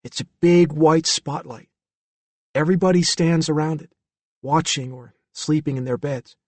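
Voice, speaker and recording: A man's voice: a little high, moderate pace, a little mellow, no room sound, voice pushed up, close to an everyday voice. Storybook role: scared little boy played by a man, fragile, childlike, voice raised, dry close sound. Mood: pained, earnest. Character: frightened, fragile young boy keeping secrets.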